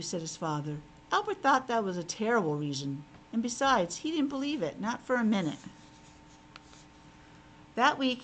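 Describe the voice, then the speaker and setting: A woman teacher reading a picture book aloud to young children, breaking off for simple conversational asides. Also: on the high side. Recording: clearly noisy background.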